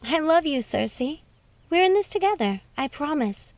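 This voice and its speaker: A woman's voice: high voice, very animated, unhurried, no echo, pitched above the performer's own voice, exaggerated voice. A woman voicing a sweet, emotional young princess in a storybook, earnest and affectionate, with a gushing delivery. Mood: happy, eager.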